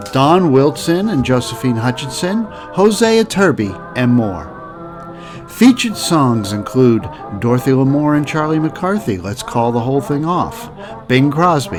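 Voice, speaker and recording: A man hosting a podcast with scripted narration, delivered in emphatic, announcer-like phrases. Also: medium pitch. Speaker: podcast host. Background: music.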